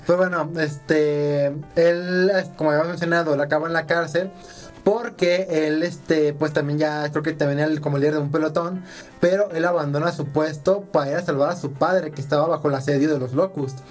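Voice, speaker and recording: Male podcast host, Mexican, fairly high voice, slangy and teasing banter, music bed underneath. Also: steady tone, normal speed. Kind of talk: casual banter about video games.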